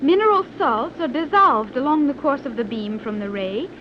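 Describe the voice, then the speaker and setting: An actress playing a coolly confident young submarine pilot from an advanced hidden island, with formal, precise phrasing in 1930s radio serial style. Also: female voice, high voice, animated, normal speed. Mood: calm, assured. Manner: formal, precise, stilted phrasing without contractions. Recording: dull, noisy old 1930s radio recording.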